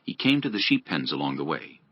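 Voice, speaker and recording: A man's voice: very deep voice, very animated, brisk, breathy edge, no room sound. A man narrating scripture as a scripted dramatized audiobook. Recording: dull lo-fi audio.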